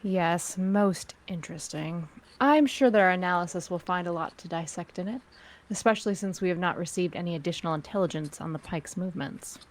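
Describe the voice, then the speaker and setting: A woman playing a cool, commanding crime-syndicate agent in a script table read, speaking in a measured, formal way. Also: on the low side, lightly acted. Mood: cool, businesslike.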